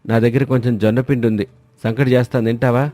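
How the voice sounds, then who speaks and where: An actor playing a kind, stubborn village man who lives alone, speaking gently and reassuringly. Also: medium pitch, little pitch movement, fast, no echo, close to an everyday voice.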